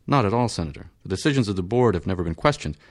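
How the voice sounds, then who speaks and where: A man reading an audiobook, voicing a stolid male surgeon who speaks flatly, patiently and formally. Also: low-pitched. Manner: flat, measured, formal.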